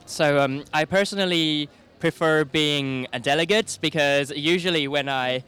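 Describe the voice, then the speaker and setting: A man's voice: on the high side, measured pace, a bit bright, clean tone. A young man from Thailand, an interview guest speaking fluently and upbeat. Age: young adult.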